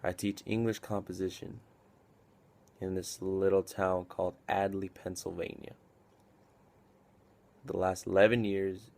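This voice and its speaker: A man's voice: deep voice, gravelly, darkish tone. A man playing a weary, reflective army captain in a film drama monologue, subdued, hesitant and confessional.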